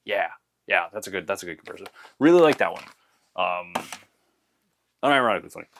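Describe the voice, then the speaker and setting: A young man in a casual, rambling show-and-tell about his anime collection, full of "uh" and restarts, a little hoarse from losing his voice. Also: sits low, lively intonation, fast, a bit bright, a little hiss or hum. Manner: rambling, many fillers and restarts.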